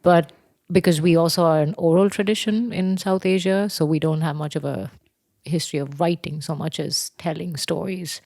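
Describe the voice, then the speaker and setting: A woman's voice: deep voice, steady tone, moderate pace, darkish tone, no echo, clean studio sound. A woman from India talking in a podcast discussion, reflective and searching for her words. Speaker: podcast co-host. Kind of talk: casual discussion.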